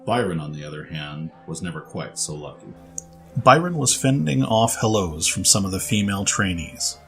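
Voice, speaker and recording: A man's voice: low voice, lively intonation, normal speed, a bit bright, soft background music. A man reading scripted story narration as the narrator.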